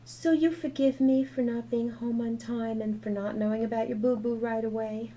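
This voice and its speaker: A woman voicing a gentle, loving young mother who speaks softly and tenderly to her child. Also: on the high side, fairly even intonation, normal speed, pitched above the performer's own voice, natural voice, low-quality recording. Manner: soft, apologetic and tender.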